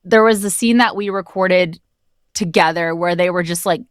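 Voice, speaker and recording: A woman's voice: on the low side, moderate pace, no room sound, studio quality. A woman who narrates audio drama, chatting casually and enthusiastically as a guest, reflecting on her work. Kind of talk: casual conversational interview.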